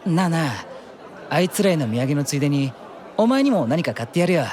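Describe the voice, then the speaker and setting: A brash, animated young demon guy. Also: fairly high pitch, a little fast, some chatter behind, slight background noise. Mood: sly, coaxing. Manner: rough, cocky speech that turns stammering and defensive. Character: brash, tsundere young male demon, flustered and boastful. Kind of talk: anime-style audio drama acting.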